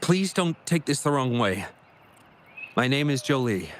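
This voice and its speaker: A man's voice: medium pitch, sing-song, measured pace, a little hiss or hum. A man playing an earnest, apologetic young researcher in a video game, hesitant and confiding. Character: earnest, apologetic young male researcher, worried about friend.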